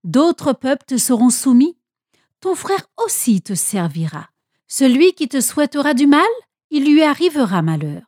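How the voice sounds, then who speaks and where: An old patriarch, acted out by a woman in a storybook tale, speaking with solemn weight. The delivery is expressive and swings in pitch, with a soft-edged tone in a very dry recording. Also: normal speed, dry recording. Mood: solemn blessing. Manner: solemn, declamatory. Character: old father Isaac, solemn patriarch, later horrified.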